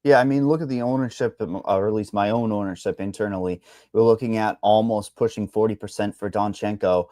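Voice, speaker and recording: A man's voice: on the low side, fairly even intonation, brisk, mellow, no echo. A man with a thick Boston accent breaks down fight odds in a loose, rambling way, dropping blunt asides as he goes. The sound is dry and close, with no room around it. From Boston, Massachusetts. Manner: rambling, hedging, salty asides. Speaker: MMA betting analyst. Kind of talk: casual betting chat.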